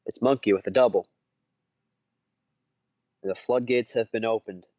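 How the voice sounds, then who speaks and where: A man's voice: fairly low pitch, fairly even intonation, a little slow, no room sound. A man giving casual colour commentary on a card game in short, often repeated remarks, on a dull lo-fi recording.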